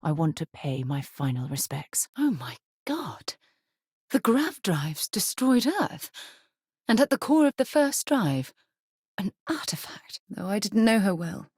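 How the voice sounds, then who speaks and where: A woman's voice: mid-range voice, animated, unhurried, a little mellow, slightly rough, no room sound, clean studio sound. An actress playing an earnest, principled woman space explorer in a video game, speaking in a composed, articulate, rather formal way. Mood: polite, measured, then shocked.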